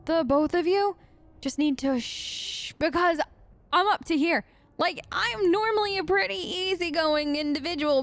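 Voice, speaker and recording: A woman with a high voice talks casually over gameplay, answering the characters in role. She is chatty and easily exasperated. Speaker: let's play host. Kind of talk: role-play gaming commentary.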